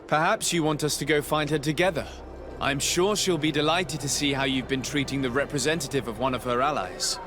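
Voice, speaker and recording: A man's voice: a little high, steady tone, a little fast, music in the background, lightly acted. An actor playing a composed young nobleman and diplomat in a video game, formal, self-assured and politely defiant. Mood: cool, defiant.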